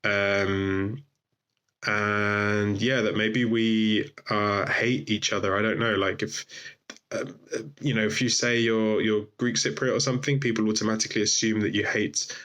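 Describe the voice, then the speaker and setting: Low-voiced man, interviewee, measured and hedging, little pitch movement. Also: level tone, fairly bright tone. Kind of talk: thoughtful interview answer.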